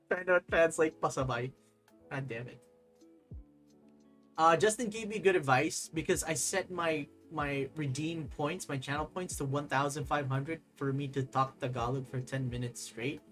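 Young male streamer, loose and chatty, a bit high-pitched, soft music bed. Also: measured pace. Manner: rambling, excitable, reads chat aloud, laughs often. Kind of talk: casual live-stream chat. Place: desk at home, overhead camera.

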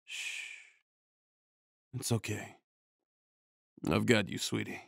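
A man plays a tender, worried boyfriend in an ASMR comfort roleplay. His voice is husky and hushed, and he takes his time with each soothing phrase. The recording is close and dry. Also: lowish voice, steady tone, takes it slowly, no room sound. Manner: hushed, soothing, breathy sighs and shushing. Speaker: ASMR audio roleplay performer.

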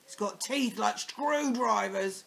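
A woman's voice: mid-range voice, slow, vocal fry, a bit bright. A woman voicing a nasty old husband in a children's story, sneering and taunting.

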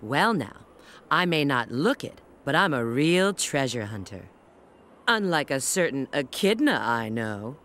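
A woman's voice: extremely low pitch, big pitch swings, a little slow, fairly bright tone, heavily acted. An actress playing a sly, confident female bat treasure hunter in a video game, with a cool, teasing manner. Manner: cool, teasing, self-assured. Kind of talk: video game cutscene acting.

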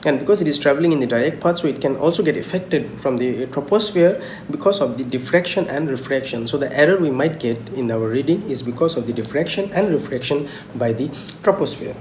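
A man's voice: medium pitch, animated, darkish tone. Young male lecturer in an echoey room. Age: young adult. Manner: halting, repetitive, poses questions and answers them. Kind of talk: informal technical lecture. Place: small indoor room at home. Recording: roomy echo, clear background noise.

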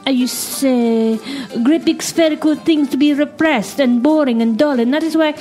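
A woman's voice: sits high, normal speed, dark tone, faint music behind, natural voice. A woman putting on a haughty French flirt. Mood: scornful flirt. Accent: put-on French accent. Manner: theatrical, mock-seductive, scornful. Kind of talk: improvised comedy sketch.

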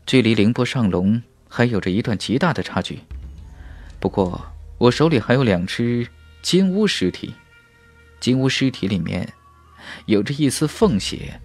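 A man voicing a confident, ambitious young warrior in a fantasy audiobook, with an emphatic, dramatic delivery. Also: mid-range voice. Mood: calculating, determined. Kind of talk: audiobook character speech and inner thoughts.

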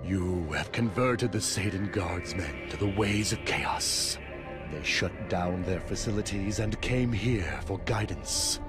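An actor playing a zealous male warrior cleric of chaos in a video game, speaking in a solemn, deliberate, grandiose way. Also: low voice. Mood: formal, approving. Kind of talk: scripted video game dialogue. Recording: game music behind the voice. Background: video game music.

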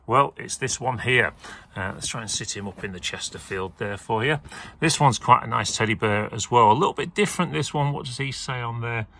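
Chatty bright-voiced auctioneer. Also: male voice, mid-range voice, brisk. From England. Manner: chatty, rambling, with many fillers. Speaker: auctioneer showing lots. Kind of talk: casual video walkthrough. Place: indoors beside a leather armchair.

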